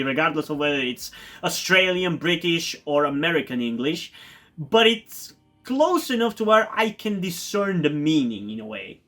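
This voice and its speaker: A man's voice: fairly high pitch, a bit bright. Rambling Bulgarian man reviewing a show. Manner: rambling, many fillers and restarts; enthusiastic, repeats words for emphasis. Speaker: TV review YouTuber. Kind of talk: casual spoken TV review.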